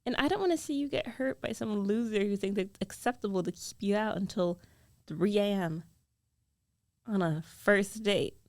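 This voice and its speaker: A woman's voice: mid-range voice, moderate pace, no echo, studio quality, close to an everyday voice. A woman acting a jealous, defensive young roommate who is secretly in love, in an emotional, conversational ASMR roleplay. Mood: worried, tender. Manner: emotional, conversational, pleading and protesting. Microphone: close studio microphone, dry.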